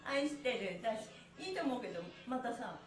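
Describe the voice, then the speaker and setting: A woman with a notably high voice hosts an exercise livestream in a roomy, echoing space. She is cheerful and encouraging, talking in a chatty way as she guides each movement. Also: very animated, a little fast, faint music behind. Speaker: stretch class instructor and host. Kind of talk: livestream exercise lesson, counting with chanted phrases. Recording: echoey room.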